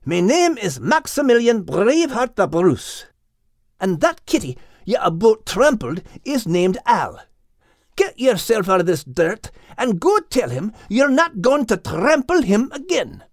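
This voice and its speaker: A man voicing a feisty, bossy little dog with a Scottish accent, protective and quick to scold, in an audiobook animal tale. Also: high-pitched, big pitch swings, dry recording, clean studio sound, pitched above the performer's own voice, full character voice. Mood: incredulous, stern.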